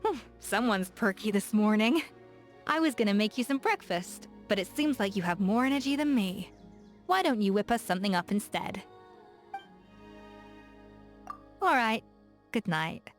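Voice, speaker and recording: Woman doing a confident cheery game chef, medium voice, soft music under it. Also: medium pitch, animated, normal speed, lightly acted. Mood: teasing. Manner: warm, encouraging and playful. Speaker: game character. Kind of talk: game voice acting.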